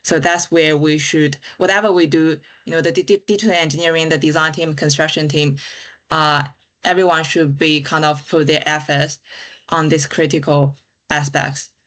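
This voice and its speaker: A woman's voice: deep voice, fairly even intonation, normal speed. Woman, thoughtful podcast guest. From China. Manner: thoughtful, many fillers like "you know" and "kind of". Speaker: guest, digital engineer. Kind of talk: casual interview chat.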